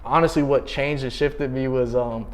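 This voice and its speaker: A man's voice: medium pitch, moderate pace, over a noisy crowd. A young man in his twenties from Canada chatting casually and slangily as an interview guest, in loose bursts. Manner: slangy, talks in loose bursts with frequent "like" and "you know". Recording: steady background noise.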